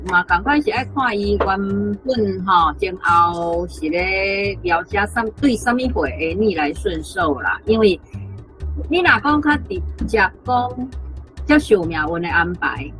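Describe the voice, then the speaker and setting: A woman's voice: medium pitch, lively intonation, a little slow, fairly bright tone, faint music behind, rough recording. A woman with a Taiwanese accent explaining her view off the cuff, tentatively thinking aloud as a guest. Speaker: guest commenting on translations. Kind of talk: unscripted explanation.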